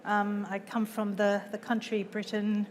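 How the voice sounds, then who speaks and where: Australian woman, panelist, halting speech, monotone, reverberant hall. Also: mid-range voice. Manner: halting, self-correcting speech. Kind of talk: panel introduction. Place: conference hall.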